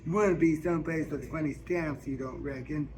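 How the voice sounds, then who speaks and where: A man's voice: fairly high pitch, a little fast, a little mellow, close to an everyday voice. A man reading the part of a curious, forthright Southern woman in a novel, in folksy dialect. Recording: noisy public store ambience.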